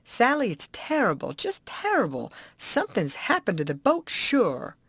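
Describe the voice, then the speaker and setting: A woman's voice: medium pitch, huge pitch swings, moderate pace, a bit bright, husky, no room sound, voice pushed up, full character voice. A woman voicing a worried, plain-spoken old farmer with an anxious drawl.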